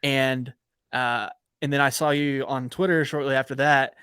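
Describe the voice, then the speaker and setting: A man's voice: mid-range voice, fairly even intonation, moderate pace, fairly bright tone, smooth, no room sound, studio quality. A man hosting a podcast in a casual, chatty interview style. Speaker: podcast host.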